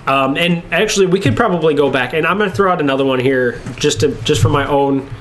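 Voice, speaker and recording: Man, slightly high voice, casual show co-host, chatty banter, noisy room recording. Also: fast.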